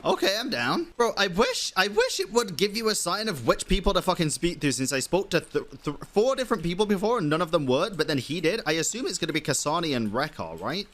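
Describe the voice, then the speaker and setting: A man's voice: high voice, sing-song, quick pace, few pauses, a bit bright. A young man giving casual live game commentary as a streamer, with sweary, sarcastic asides and slang. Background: game music.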